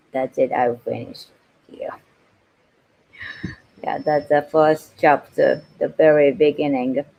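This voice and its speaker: Female livestream host, Japanese accent. Slightly low pitch, very unhurried delivery, reading aloud and casual chat. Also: creaky, poor audio. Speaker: livestream host, voice-over artist.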